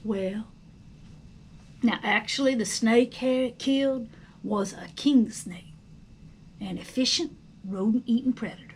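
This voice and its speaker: A woman reading a storybook aloud as narrator, in an expressive, dramatic style with a hushed storytelling manner. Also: a little high, measured pace, pauses often, a little hiss or hum. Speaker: storybook reader.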